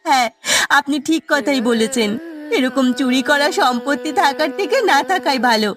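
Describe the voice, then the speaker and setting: Lady doing anxious village wife in a cartoon, high voice, plaintive and remorseful, faint music behind. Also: normal speed, close to an everyday voice. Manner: plaintive, melodramatic. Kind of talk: cartoon voice acting.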